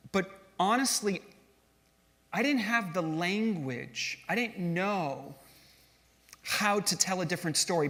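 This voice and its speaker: A middle-aged man telling his story from a stage in a relaxed, self-deprecating tone, now and then putting questions to the audience. His voice sits fairly high. Long reverb from a large hall trails each phrase. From grew up in Switzerland and California. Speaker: speaker giving a talk.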